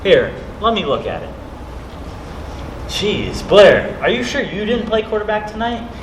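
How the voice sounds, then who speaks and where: A man playing a good-natured, battered high school quarterback, earnest and wry, in an amateur stage play. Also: sing-song, unhurried. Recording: echoey stage with faint noise.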